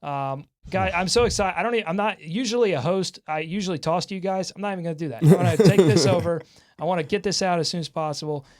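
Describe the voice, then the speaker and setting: A man hosting a sports talk show in an excitable, jokey way. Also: high voice, fast talker, few pauses. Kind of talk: lively sports talk with co-hosts.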